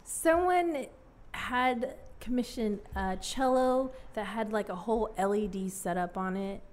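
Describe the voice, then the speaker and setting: A woman interviewing a guest in a relaxed way, asking curious questions and laughing along. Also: mid-range voice, unhurried. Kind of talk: casual interview chat.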